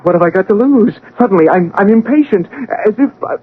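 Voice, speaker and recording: An actor playing an awestruck scientist out of his body, halting and dramatic, in an old-time radio drama. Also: high-pitched, animated, breathy edge. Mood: excited, amazed. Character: awestruck scientist floating outside his own dead body. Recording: narrow-band, noisy old radio broadcast.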